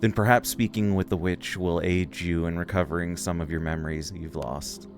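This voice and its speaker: A man voicing a calm, slightly condescending magical talking crystal in a video game, with music behind. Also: low-pitched, moderate pace. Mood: knowing. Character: talking floating crystal, calm knowing witch's servant. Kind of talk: reading game dialogue aloud.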